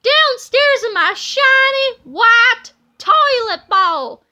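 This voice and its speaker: Lady doing a evil cat inventor from a kids comic, super high n bright, bossy and gloating. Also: animated, drawn-out words, slight background noise, heavily acted. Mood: smug. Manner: theatrical, boastful, commanding.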